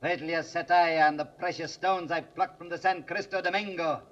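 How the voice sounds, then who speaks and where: An actor playing a sly old ghostly seafarer in 1940s radio style, gloating over treasure in archaic sailor talk. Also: male voice, on the high side, steady tone, normal speed, fairly bright tone, lightly acted. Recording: dull old radio drama recording, music behind.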